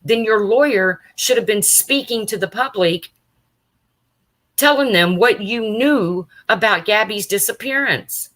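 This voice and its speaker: A woman with a deep, raspy voice chatting casually on a live stream, answering viewers' comments by name as a true crime streamer. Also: unhurried, a bit bright, poor audio.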